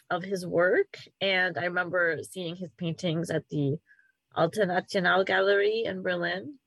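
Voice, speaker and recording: A woman's voice: lowish voice, a bit bright, no room sound, rough recording. A woman co-hosting a podcast, chatting casually with frequent ums. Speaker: podcast co-host.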